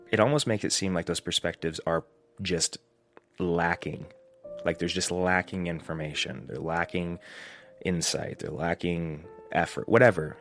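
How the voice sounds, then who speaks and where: A man hosting a solo podcast, getting over a cold, thinking aloud in a rambling, reflective way with many restarts. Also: low-pitched. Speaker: podcast host.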